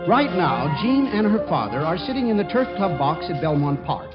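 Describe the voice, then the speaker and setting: A man's voice: high voice, big pitch swings, moderate pace, music in the background. A man hosting and playfully narrating a scripted 1940s radio comedy, on a dull old recording. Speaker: host and narrator.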